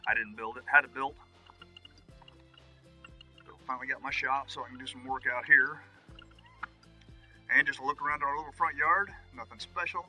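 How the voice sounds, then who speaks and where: Bright-voiced man hosting an outdoor vlog. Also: medium pitch, fairly even intonation, moderate pace, pauses often. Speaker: vlog host. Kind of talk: casual outdoor property tour to camera. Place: outdoors on wooded rural land. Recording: music behind the voice.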